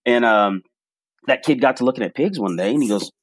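A man talking as a podcast guest, telling stories in a relaxed, animated way with frequent restarts. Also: medium pitch, brisk, slightly rough, no echo, low-quality recording. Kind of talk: casual storytelling interview.

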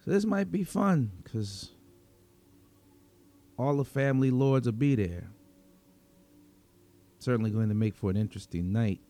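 A man narrating a dramatic story in the first person as a cynical, wry, menacing vigilante vampire, with sardonic, slangy delivery and dramatic pauses. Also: mid-range voice, expressive, mellow, lightly acted. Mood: weary, anticipating.

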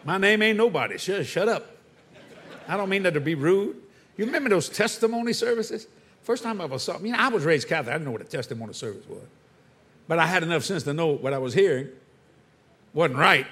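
A man's voice: a little high, lively intonation, fast talker, fairly bright tone, slightly rough. A man preaching a sermon to a church congregation, firing rhetorical questions and calling for answers.

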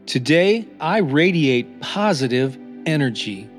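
A man reading scripted motivational affirmations as a podcast host, in a deliberate, encouraging manner. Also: on the high side, expressive. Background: new-age music.

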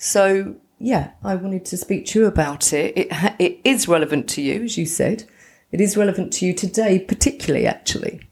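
A woman's voice: on the low side, expressive, fairly quick, vocal fry. A woman co-hosting a podcast with her daughter, asking question after question and circling back on her points. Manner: warm-hearted, asks many questions, repeats herself. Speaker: podcast co-host, mother of the other host. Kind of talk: casual podcast chat.

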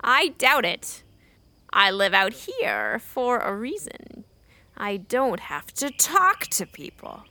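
An actress playing a gruff, folksy old woman hermit in a children's fantasy audio drama. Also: fairly high pitch, wildly swooping pitch, takes it slowly, sharp tone, exaggerated voice. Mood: gruff, dismissive. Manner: crotchety, folksy, theatrical. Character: short, gruff but twinkly old woman hermit Maggie.

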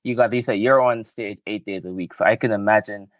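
A man's voice: fairly low pitch, steady tone, brisk, dry recording, lo-fi sound. A man hosting an interview podcast, asking questions in a friendly, affirming way with lots of short encouraging replies. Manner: friendly, affirming, frequent "okay" and "yes", laughs often. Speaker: podcast host. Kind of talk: casual interview chat.

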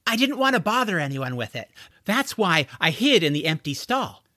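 A man acts out an anxious young stable hand from a fantasy storybook. His voice is high and bright, hushed and pleading one moment, falsely cheerful the next. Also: sing-song, normal speed, no room sound, clean studio sound, exaggerated voice. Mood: sheepish, bright. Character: anxious young male stable hand hiding a baby dragon.

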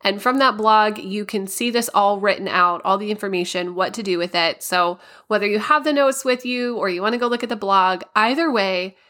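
A woman hosting a solo podcast, a little raspy and hoarse from a cold, explaining things in a practical, step-by-step way. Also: medium pitch, moderate pace, few pauses, a bit bright. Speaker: podcast host.

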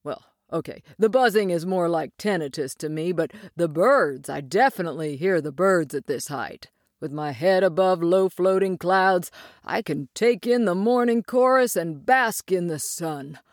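A woman voicing an ancient, wise male sequoia in a storybook reading, unhurried and self-amused. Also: fairly low pitch, no room sound, clean studio sound, close to an everyday voice. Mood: musing. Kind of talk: storybook character voice.

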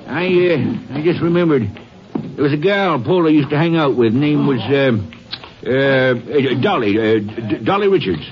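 An actor playing a folksy, opinionated old American boxing fan who rambles and hems and haws, in old-time radio style. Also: male voice, mid-range voice, animated, close to an everyday voice. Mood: hesitant recalling. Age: older. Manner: folksy, rambling, hesitant drawn-out speech. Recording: muffled 1950s radio recording, crowd noise behind. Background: crowd noise.

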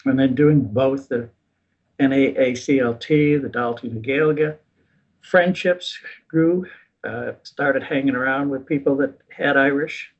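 Husky older man telling his story. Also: medium pitch, slow pace. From United States. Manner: relaxed, self-deprecating, chuckles at himself. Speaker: guest. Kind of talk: casual interview storytelling. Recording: dull lo-fi recording.

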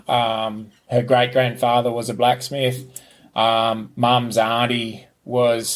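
An easygoing Australian man in his forties telling his life story in a casual interview, rambling with many ums and restarts, recorded outdoors on a back veranda. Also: mid-range voice. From Newcastle, New South Wales, Australia. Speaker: podcast host being interviewed as guest.

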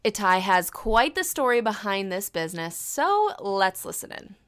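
A woman host reading a scripted introduction of a guest speaker in a measured way. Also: medium pitch, very animated, fairly bright tone, smooth, dry recording.

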